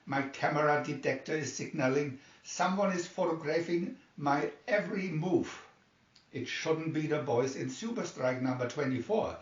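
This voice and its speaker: Man reading a brisk rescue pilot, roomy sound. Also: on the high side, natural voice. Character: brisk, alert male rescue pilot on radio. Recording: dull, roomy, distant microphone.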